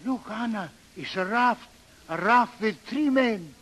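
High-voiced old Swedish captain. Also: male voice, lively intonation, unhurried, a bit bright, full character voice. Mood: anxious, urgent. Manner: broken English. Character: fond, superstitious old Swedish barge captain, doting father. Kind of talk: 1930s radio drama acting. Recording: old 1930s radio recording.

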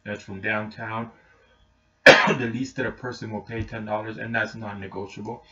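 A man's voice: on the low side, flat delivery, normal speed, pushed and bright. A middle-aged man giving an informal, plain-spoken explanation in a matter-of-fact way. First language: Spanish is not his natural language; English speaker. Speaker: taxi driver explaining his service. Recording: muffled webcam mic, distant.

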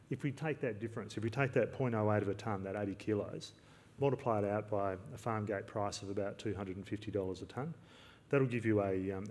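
Low-pitched Australian man presenting in a hall. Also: darkish tone. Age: middle-aged. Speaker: farm consultant presenting at a conference. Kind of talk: conference presentation. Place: large indoor conference auditorium. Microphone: lectern gooseneck microphone. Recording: reverberant hall, clear background noise.

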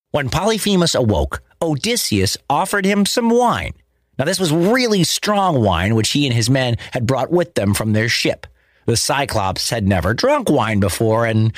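Male storyteller for kids' myths; playful, highly animated, close dry sound with light music. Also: normal speed, dry recording. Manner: dramatic, playful. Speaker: storyteller, host. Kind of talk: scripted children's myth narration.

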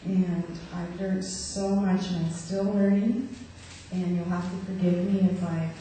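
A soft-spoken middle-aged woman speaks hesitantly at a chapel podium, often pausing on fillers. Her voice is mellow and a bit low, picked up from far off in an echoey hall with faint noise. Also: fairly even intonation. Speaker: chapel service introducer. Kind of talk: church service introduction. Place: hall stage with curtains. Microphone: podium mic, far camera.

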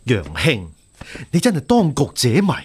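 A shrewd, husky-voiced imperial censor. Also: male voice, fairly high pitch, wildly swooping pitch, normal speed, darkish tone, exaggerated voice. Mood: cautioning, concerned. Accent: Hong Kong Cantonese. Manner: reasoning, persuasive. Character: shrewd, steady male imperial censor advising a friend. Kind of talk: radio drama acting.

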